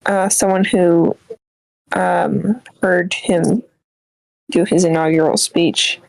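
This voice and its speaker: A woman joining a casual podcast chat with a gravelly edge of vocal fry, recorded dry. She chimes in with quick, chatty asides that break into the flow. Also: on the low side, steady tone, a little mellow, no echo, poor audio.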